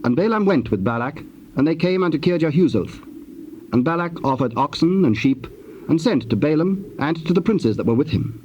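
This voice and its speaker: A man's voice: fairly high pitch, expressive, normal speed, a little mellow, lo-fi sound. A man narrating dramatized scripture solemnly in archaic English. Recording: noisy old cassette tape.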